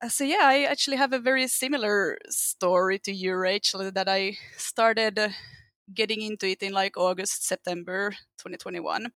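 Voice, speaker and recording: A woman with a Finnish accent chats as a podcast guest in a mid-range voice. She tells her story in a relaxed, laughing way. The recording is dry and close. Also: mid-range voice, normal speed, dry recording. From Finland. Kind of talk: casual podcast chat.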